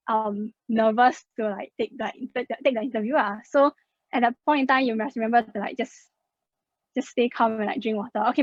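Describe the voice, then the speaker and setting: A woman's voice: a little high, no room sound. Chatty young woman, dull sound. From Singapore. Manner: chatty, many fillers like "uh" and "like". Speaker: scholarship student sharing her experience. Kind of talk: slide presentation, casual and explanatory.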